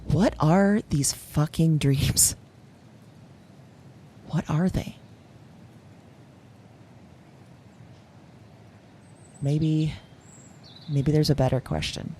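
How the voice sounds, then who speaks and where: A woman playing an uneasy, fascinated dreamer who haltingly confides in an audio diary for an audio drama. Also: low-pitched, warm tone, a little hiss or hum. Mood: unsettled, intense. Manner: halting, searching, hesitant with pauses and sighs.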